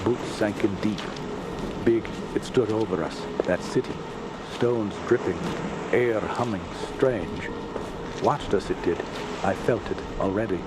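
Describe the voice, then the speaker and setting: Actor as low-voiced haunted sea captain, loud sea noise. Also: male voice, close to an everyday voice. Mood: haunted, regretful. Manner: halting, inverted word order, grim. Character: haunted, weary Scandinavian sea captain recounting a dreadful voyage. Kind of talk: radio drama monologue. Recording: loud sea and boat ambience behind.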